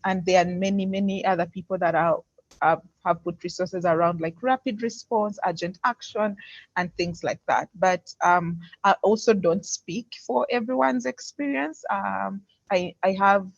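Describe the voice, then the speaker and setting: A woman giving a candid answer as a guest speaker on an online call, speaking in a hesitant, thoughtful way. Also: lowish voice. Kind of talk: candid spoken answer in an online question session. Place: indoors on an online video call. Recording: muffled, roomy online call audio.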